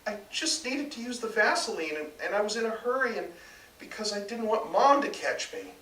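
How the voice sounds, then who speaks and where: A sheepish, indignant teenage boy acted out by a man reading a story pleads defensively. The pitch is high and the sound is echoey, caught from a distance. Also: sing-song, normal speed, pushed and bright, heavily acted. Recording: distant mic, echoey room, faint noise.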